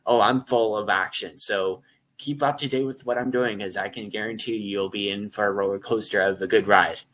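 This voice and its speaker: A husky, low-voiced young man speaks earnestly as a guest over a video call. He rambles and restarts his sentences while urging people to reach out for support. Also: steady tone, normal speed, a bit bright. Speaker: guest, life coach. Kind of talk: earnest promotional talk.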